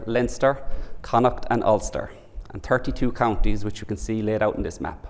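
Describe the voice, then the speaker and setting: A man in his forties with a Cork Irish accent, giving a formal, measured lecture as a professor from a prepared speech. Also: medium pitch, a little mellow. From Ireland. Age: 40s. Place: large lecture hall. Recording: reverberant lecture hall.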